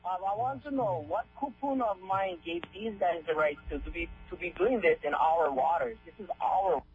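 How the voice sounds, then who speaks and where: A man's voice: sits high, normal speed, faint music behind. A man from Hawaii, a native Hawaiian speaker, calling in to a radio show and asking emphatic, repetitive questions. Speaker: caller. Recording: tinny phone line with faint hum.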